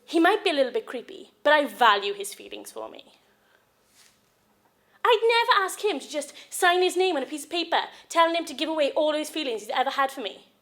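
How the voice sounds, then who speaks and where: A woman's voice: high voice, very animated, fairly quick, a bit bright, full character voice. A woman performing a stage monologue as a jilted, clingy wife, sarcastic and desperately in love. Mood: wry, defensive.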